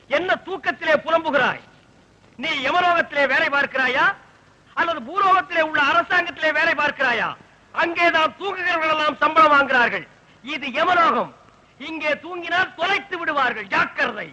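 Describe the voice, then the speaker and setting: A man's voice: extremely high pitch, normal speed, pushed and bright, full character voice, low-quality recording. A man playing Yama, the pompous lord of death, in a theatrical, grandiloquent, scolding manner for a mythological comedy film. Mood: stern, mocking.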